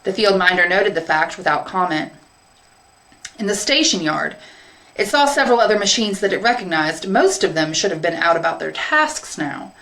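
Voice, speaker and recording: A woman's voice: on the low side, very animated, normal speed, pushed and bright, low-quality recording. A woman English teacher reading a short story aloud as scripted narration.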